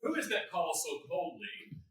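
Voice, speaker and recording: A woman's voice: lowish voice, a little slow. A woman playing an eager, inquisitive household servant in a staged reading of Shakespearean comedy. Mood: curious, eager. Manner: inquisitive, animated. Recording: echoey room from far off.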